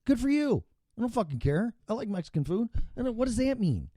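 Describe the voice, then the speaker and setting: A man's voice: very high-pitched, sing-song, fairly quick, warm tone, no echo. A middle-aged or older man chats casually as a talk show host, blunt and profane, hammering points by repeating phrases. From Chicago area, United States. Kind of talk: casual opinionated chat.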